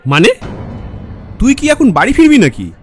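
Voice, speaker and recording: An actor playing a caring, nagging young bridegroom friend in a horror audio drama. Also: male voice, extremely high pitch, huge pitch swings, moderate pace, a little hiss or hum, exaggerated voice. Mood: coaxing. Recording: music and faint crowd behind. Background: music, faint crowd murmur.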